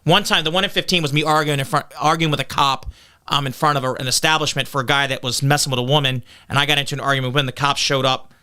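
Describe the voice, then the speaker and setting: A man's voice: mid-range voice, fairly even intonation, quick pace, smooth. A man, a political candidate on a podcast, arguing back defensively and lecturing on legal details. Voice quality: loud voice.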